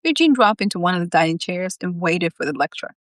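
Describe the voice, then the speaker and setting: A woman's voice: on the low side, lively intonation, fast, dry recording, studio quality. A woman reading a story aloud as its author, in a live reading style with casual asides.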